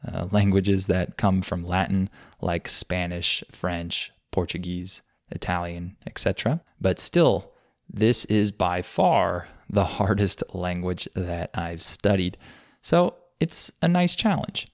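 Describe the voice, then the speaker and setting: A man calmly explaining why he studies a language, thoughtful and pausing often with fillers. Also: deep voice, no echo, studio quality. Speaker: language learner. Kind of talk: unscripted personal explanation.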